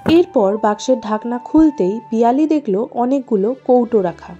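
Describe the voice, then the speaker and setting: A woman's voice: fairly high pitch, animated, normal speed, warm tone, highs cut off, faint music behind. A woman reading a scripted story as its narrator.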